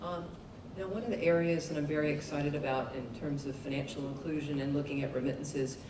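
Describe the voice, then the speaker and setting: A woman moderating a conference panel, introducing a question in a measured way with soft fillers. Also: steady tone. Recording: echoey hall, distant mic, rumble.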